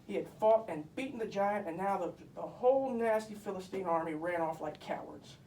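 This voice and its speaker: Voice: mid-range voice, brisk, a little mellow, close to an everyday voice. A performer voicing a boastful, bossy puppet storyteller, pompous and emphatic, telling comic Bible tales. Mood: self-important storytelling. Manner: pompous, emphatic, mock-scholarly. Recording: echoey room, distant mic, faint noise.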